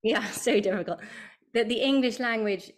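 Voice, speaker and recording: A woman's voice: fairly high pitch, moderate pace. A woman hosting a podcast interview, asking curious, reflective questions.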